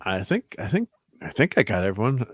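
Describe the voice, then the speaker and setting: A man with a low voice hosts a casual livestream chat. He rambles along reading off viewer names and laughs easily. The sound is close and dry. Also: very animated, a little fast, slightly rough, dry recording. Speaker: host.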